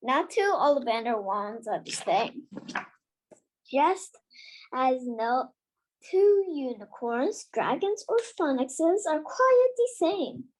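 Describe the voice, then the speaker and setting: A child voicing an eccentric old wandmaker from a storybook, precise, formal and stern, with halting delivery. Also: high-pitched, very animated, slight background noise, full character voice, poor audio. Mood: absorbed, exacting.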